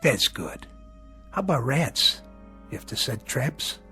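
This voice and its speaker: An actor playing a menacing, sardonic gangster boss, mocking and coolly controlling in a radio drama. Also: male voice, mid-range voice, very animated, slow, bright, forceful tone, full character voice. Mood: sardonic, sinister. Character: menacing, sardonic gangster boss who needles his underling. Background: music.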